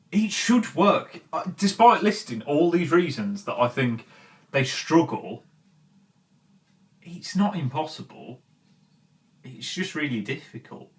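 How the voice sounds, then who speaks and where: A man hosting a video channel, delivering conversational, opinionated commentary to viewers with emphatic, point-by-point repetition. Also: medium pitch. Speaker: video channel host presenting an opinion piece.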